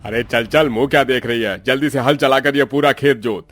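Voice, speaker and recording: A man's voice: mid-range voice, brisk, fairly bright tone, dry recording, close to an everyday voice. A man playing a cruel, menacing village moneylender in a cartoon story, with a bullying, threatening manner.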